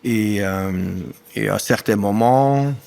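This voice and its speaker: Man, 60s, German-speaking Belgian accent, gravelly, low-pitched, halting, hunting for words. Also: a little mellow. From German-speaking eastern Belgium. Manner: halting, searches for French words, many restarts. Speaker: dairy farmer, podcast host. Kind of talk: conversational interview.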